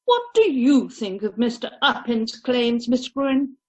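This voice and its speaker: A woman's voice: on the high side, very animated, a little slow, darkish tone. A woman acting a sceptical, inquisitive society lady in an amateur radio play, chatty and probing over a muffled video call.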